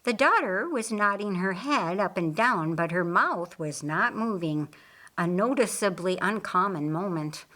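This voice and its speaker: A woman's voice: medium pitch, animated, unhurried, pushed and bright. A woman narrating a scripted story as an audiobook reader.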